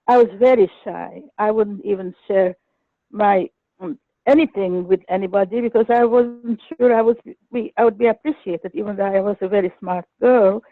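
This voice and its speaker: An older woman calls in over a tinny, narrow phone line with no room sound at all. She talks earnestly and a little haltingly, restarting her phrases as she goes. Her voice sits at a middle pitch for a woman. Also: no echo. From Egypt. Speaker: caller. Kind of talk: phone-in sharing a personal story. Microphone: telephone.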